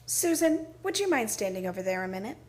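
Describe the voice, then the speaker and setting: A woman's voice: medium pitch, very animated, fairly quick, darkish tone, close to an everyday voice. A woman playing a protective, practical mother in a radio play, composed and firm.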